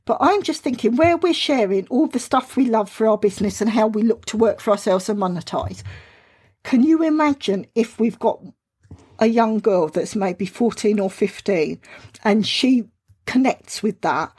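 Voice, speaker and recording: Effusive Southern English woman, husky voice. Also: fairly high pitch, animated, darkish tone. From southern England. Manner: effusive, quick to enthuse. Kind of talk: casual podcast chat.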